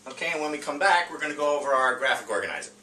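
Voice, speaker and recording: A man presenting an informal reading lesson as a teacher, speaking in a hesitant, halting way with frequent filler pauses. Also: a little high, animated, sharp tone. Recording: roomy, distant mic with faint noise.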